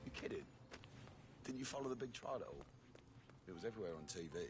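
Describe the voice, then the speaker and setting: An actor playing an eager gossip in a video game, telling things emphatically in short, clipped phrases. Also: male voice, fairly low pitch, animated, quick pace, natural voice. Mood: eager, impressed. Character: male gossip, eager explainer of a scandalous trial. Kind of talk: video game character dialogue.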